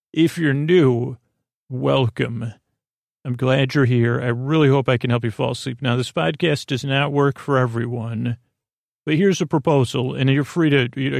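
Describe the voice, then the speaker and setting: A man hosting a bedtime podcast in a creaky voice, with a soothing, halting, meandering delivery that drifts into tangents. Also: medium pitch, dry recording, studio quality. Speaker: podcast host. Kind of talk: rambling bedtime podcast monologue.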